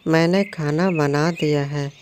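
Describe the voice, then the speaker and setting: A man voicing a young daughter-in-law sparrow who pleads, stammers and sometimes talks back in a high, squeaky voice. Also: steady tone, measured pace, slight background noise, close to an everyday voice. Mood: pleading timid. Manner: pleading, stammering when nervous.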